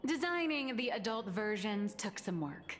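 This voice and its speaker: A woman voicing a poised female comic artist in a video game, explaining in a measured, lightly wry way. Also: medium pitch, big pitch swings. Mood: calm. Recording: game audio with long reverb and faint music.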